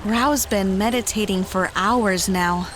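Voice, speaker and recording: A woman's voice: medium pitch, slow, fairly bright tone, faint music behind. A woman playing a brash, wry young adventurer in an audio drama, with a slangy, offhand delivery.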